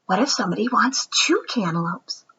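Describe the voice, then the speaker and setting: A woman's voice: mid-range voice, expressive, normal speed, pushed and bright, husky, highs cut off, exaggerated voice. A woman voicing an earnest, eager little girl in a children's storybook, with emphatic delivery.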